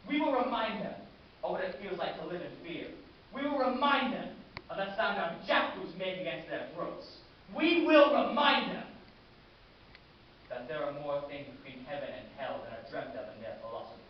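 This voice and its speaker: A man playing a fanatical, war-loving military commander in a grandiose, savoring theatrical monologue, addressing his battalion with deliberate oratory. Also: on the high side, fairly even intonation, natural voice. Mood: fierce, defiant. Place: large echoing room, festival stage performance. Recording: echoey hall from far off, muffled, hissy.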